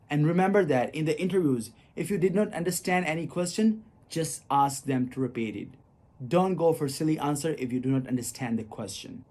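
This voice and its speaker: A man's voice: fairly high pitch, a little mellow. A young man from Pakistan with a Pakistani English accent, talking to camera and giving earnest, encouraging advice to students. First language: not English. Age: young adult. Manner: earnest, encouraging, addresses viewers as you guys. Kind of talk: casual advice talk to camera.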